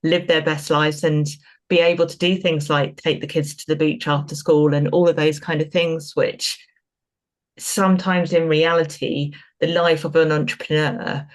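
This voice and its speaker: A woman's voice: low voice, flat delivery, moderate pace. Chatty woman interviewing in conversation. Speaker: podcast host and interviewer.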